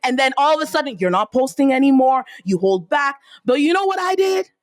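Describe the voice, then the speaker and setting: A woman with a Nigerian accent, a podcast guest telling stories in a lively, casual way. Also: fairly quick, dry recording, clean studio sound. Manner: animated, acts out quotes with big pitch jumps. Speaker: podcast guest, entrepreneur and speaker. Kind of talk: storytelling in casual interview.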